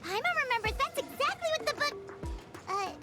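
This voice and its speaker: A woman voicing a tiny, excitable female floating companion in a video game who talks about herself in the third person. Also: very high voice, fairly quick, music in the background. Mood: excited.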